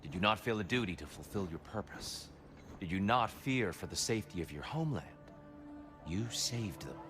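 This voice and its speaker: A man playing a calm, wise guide in a video game, speaking in a measured, formal, probing way. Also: sits low. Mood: solemn, probing. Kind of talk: cinematic video game acting. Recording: music under the voice.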